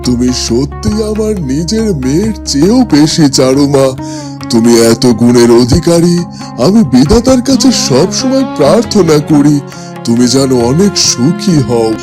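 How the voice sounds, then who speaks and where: A man's voice: fairly high pitch, big pitch swings, measured pace, over music. A man playing an ailing, elderly king in a cartoon, tender and sentimental in his speech. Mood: grateful, tender. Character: ailing elderly king, affectionate father-in-law, wistful and grateful. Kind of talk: cartoon voice acting.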